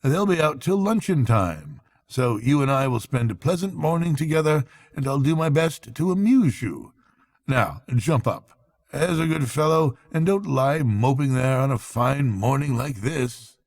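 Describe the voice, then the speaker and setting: A man voicing a hearty, brisk storybook rat who is kindly, blunt and earnest. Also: medium pitch, animated, darkish tone, voice pushed up. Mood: cheerful, coaxing. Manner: brisk, exclamatory, blunt but fond.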